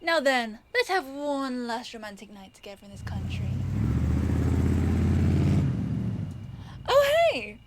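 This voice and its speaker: Woman acting high-voiced, possessive obsessive lover. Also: sing-song, normal speed, very noisy. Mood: gloating, romantic. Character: clingy, possessive rich young woman, sweetly menacing obsessive lover. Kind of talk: yandere ASMR audio roleplay.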